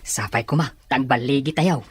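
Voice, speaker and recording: A man's voice: medium pitch, moderate pace, breathy edge, no room sound, natural voice. A man playing a respectful, concerned aide in an audio drama.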